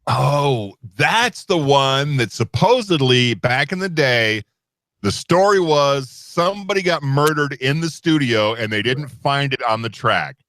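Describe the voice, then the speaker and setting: Animated, bright-voiced man bantering playfully. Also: medium pitch, measured pace, dry recording. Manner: playful, animated, self-deprecating. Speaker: co-host guessing in a music quiz. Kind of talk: casual banter on a live chat show.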